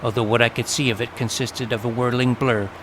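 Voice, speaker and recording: A man's voice: mid-range voice, little pitch movement, a little fast, noisy background, close to an everyday voice. An actor narrating an audio drama in the first person as a plucky, self-assured boy detective recounting his adventures. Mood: tense, dramatic. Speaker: narrator and lead character. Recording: loud dramatic music under narration.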